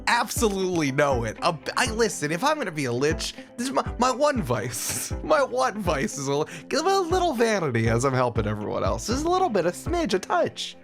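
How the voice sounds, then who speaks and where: A man's voice: sits high, a little fast, hardly any pauses. A man riffing jokily and casually as a player in an improvised tabletop roleplaying game. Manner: jokey, animated riffing. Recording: clear background music bed. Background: music.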